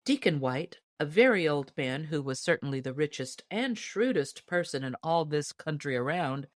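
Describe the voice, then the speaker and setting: A woman narrating a storybook as an audiobook reader, in expressive scripted narration. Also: low voice, normal speed, dry recording, studio quality.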